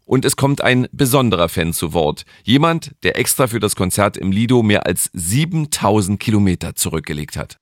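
Low-voiced male podcast narrator. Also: big pitch swings, normal speed, hardly any pauses, no room sound. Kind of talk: scripted narration.

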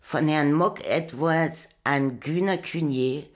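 An actress playing a measured, literary storyteller, narrating a radio play. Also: female voice, sits low.

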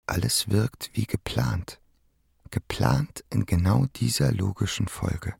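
Gravelly, brooding young poet. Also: male voice, slow, a little mellow, no room sound, studio quality, full character voice. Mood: musing. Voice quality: gravelly, creaky low voice. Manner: terse, musing, fragmentary phrases. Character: brooding young male poet, inner monologue, awed and yearning. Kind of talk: radio play inner monologue. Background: music.

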